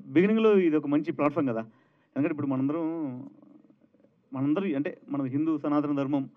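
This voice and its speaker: Thin, phone-like event audio carries a man speaking very quickly in a fairly high voice. He explains himself earnestly, as if answering the press. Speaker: film director.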